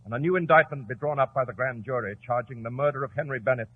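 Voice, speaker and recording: An actor playing a formal, deferential courtroom lawyer in a measured, legalistic manner for 1940s radio drama. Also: male voice, mid-range voice, slightly rough, natural voice. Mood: solemn. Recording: tinny, hissy 1940s radio recording.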